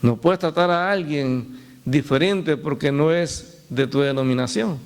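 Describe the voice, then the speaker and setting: Male pastor, high-pitched, conversational preaching with asides to the flock. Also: measured pace. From El Salvador. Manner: storytelling with laughs and direct appeals to the congregation. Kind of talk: sermon.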